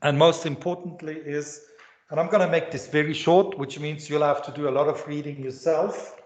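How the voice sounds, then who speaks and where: A man's voice: fairly high pitch, fairly even intonation, normal speed. A man with a Swiss accent giving a university economics lecture, rambling and restarting his sentences often. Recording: echoey lecture room, dull lo-fi sound.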